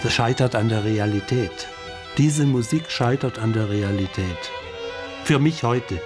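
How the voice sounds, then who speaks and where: A man giving reflective, unscripted commentary, musing haltingly and repeating phrases for emphasis. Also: mid-range voice, music in the background.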